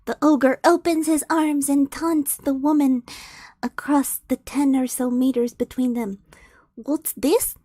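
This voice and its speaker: A woman's voice: high voice, fairly even intonation, measured pace, mellow, no room sound. A woman streamer reading fanfiction aloud, giggling and stumbling over the words as she goes.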